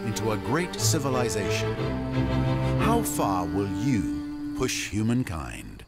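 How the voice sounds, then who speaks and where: Theatrical male narrator, highly expressive, over music. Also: vocal fry. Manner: theatrical, wry, storyteller-like delivery with deliberate phrasing. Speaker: game narrator. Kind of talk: scripted cinematic narration. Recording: voice over prominent music score.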